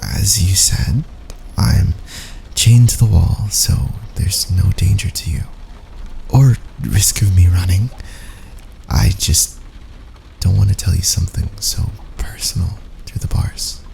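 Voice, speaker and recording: Male actor as a teasing young fantasy prisoner, intimate ASMR, low creaky voice, breathy playful asides, unhurried, faint background noise. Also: mellow, exaggerated voice, poor audio. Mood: playful, coaxing. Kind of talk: intimate ASMR boyfriend roleplay.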